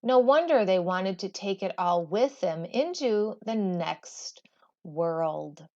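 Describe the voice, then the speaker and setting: Lady teacher doing a read-aloud for kids, takes her time, really animated pitch, kinda muffled audio. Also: slow pace. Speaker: teacher reading a textbook aloud. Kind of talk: expressive read-aloud for schoolchildren.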